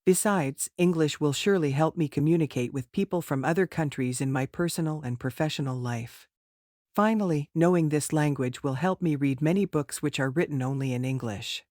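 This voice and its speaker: A man's voice: on the high side, darkish tone, no room sound, clean studio sound. A man answering mock speaking-test questions in a formal, rehearsed way. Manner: formal, rehearsed, measured delivery. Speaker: test candidate.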